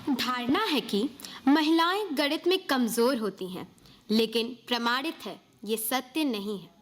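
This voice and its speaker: A woman's voice: on the high side, a bit bright. A female presenter reciting a formal scripted narration for a stage performance.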